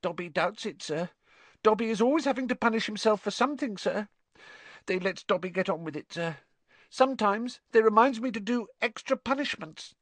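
A reader voicing a small male house elf in a storybook, high-pitched and squeaky, earnest, deferential and easily distraught. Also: lively intonation, normal speed, no room sound, natural voice. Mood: resigned. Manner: deferential, speaks of self in third person.

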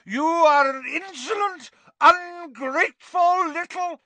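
A man voicing a loud, bullying, drunken middle-aged aunt with a booming, scornful manner. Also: very animated, moderate pace, no echo, exaggerated voice. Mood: furious.

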